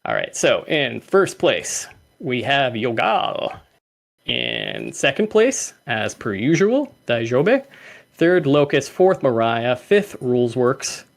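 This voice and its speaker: Young guy running a community livestream, bouncy pitch, laughs a lot. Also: slow, creaky. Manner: lively, laughs often, reads out names. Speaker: host.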